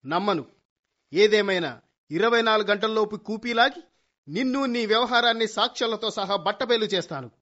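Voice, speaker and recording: A high-voiced, cocksure young detective played by a man in a comic radio play. He makes grand, theatrical declarations peppered with English. The recording is dull and lo-fi. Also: normal speed, fairly bright tone. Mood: boastful. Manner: bombastic vows, English words mixed in. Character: cocky amateur detective son, young, boastful, dramatic. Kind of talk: Telugu comedy radio play acting.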